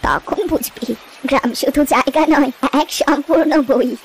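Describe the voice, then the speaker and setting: A woman playing a wistful, gentle old village grandmother who tells river lore with poetic, reflective pauses in a cartoon story. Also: sits high, a little hiss or hum, close to an everyday voice. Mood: tender, reflective. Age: older.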